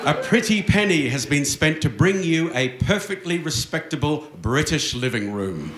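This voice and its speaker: A man's voice: a little high, sing-song, a crowd in the background. Wry male radio-play announcer, roomy stage. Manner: wry, mock-grand, measured phrasing. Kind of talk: scripted old-time radio narration before an audience. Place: theatre stage with audience. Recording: roomy live theatre stage.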